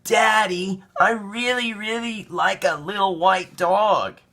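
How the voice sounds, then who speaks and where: A man voicing an eager, sweet and excitable little boy in a children's storybook. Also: high-pitched, takes it slowly, pitched above the performer's own voice, full character voice. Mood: hopeful.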